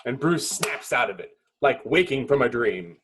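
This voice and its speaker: A man reading stage directions as narrator at a table read, in dramatic, clipped phrases with pauses. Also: mid-range voice, expressive, fairly bright tone, slight background noise.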